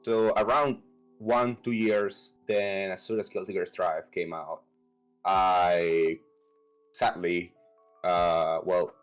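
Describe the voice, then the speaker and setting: Venezuelan-accented young man, low voice. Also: low-quality recording. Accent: Venezuelan Spanish. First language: Spanish. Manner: halting, hesitant, many fillers and restarts. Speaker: competitive fighting game player. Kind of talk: casual interview answers.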